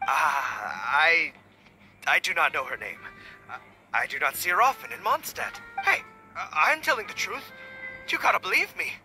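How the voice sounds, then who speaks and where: A man's voice: a little high, big pitch swings, moderate pace, over music, poor audio. A man voicing an effusive, nervous bard in an anime game, theatrical and pleading. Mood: flustered.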